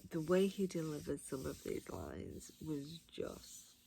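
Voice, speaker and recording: Low-voiced woman, gushing audiobook reviewer. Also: big pitch swings, slow pace, creaky, mellow, a little hiss or hum. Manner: gushing, emphatic. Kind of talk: casual, unscripted spoken review.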